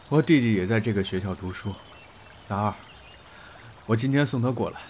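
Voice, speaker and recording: An actor playing a composed, gentle and self-assured man of about thirty in a Chinese audio drama, with a reassuring manner. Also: mid-range voice, sing-song, measured pace, slight background noise. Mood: amused, easygoing. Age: around 30.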